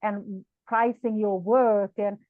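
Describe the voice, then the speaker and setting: A woman's voice: medium pitch, fairly even intonation, slow pace, no echo, narrow, tinny audio. A woman from Sweden, a non-native English speaker, hosting an interview and talking in a thoughtful way, often repeating words as she searches for them. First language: Swedish. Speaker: podcast host. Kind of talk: conversational interview.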